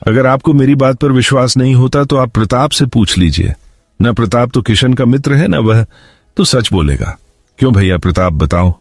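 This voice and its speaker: A man's voice: medium pitch, expressive, normal speed, dry recording, clean studio sound. A man voicing a crafty landlord in a cartoon story, wheedling and protesting his innocence. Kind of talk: Hindi cartoon moral-story voice acting.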